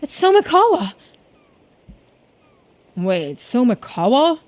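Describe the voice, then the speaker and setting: A woman's voice: high-pitched, big pitch swings, slow pace. A woman giving casual game playthrough commentary, musing aloud and addressing her viewers. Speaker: game streamer. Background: game music.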